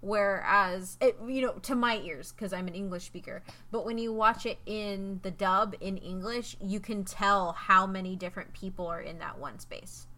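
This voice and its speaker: A woman's voice: mid-range voice, normal speed, hardly any pauses, sharp tone. A woman hosting a casual podcast, chatty and enthusiastic with frequent ums as she talks through facts about an anime. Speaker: podcast host.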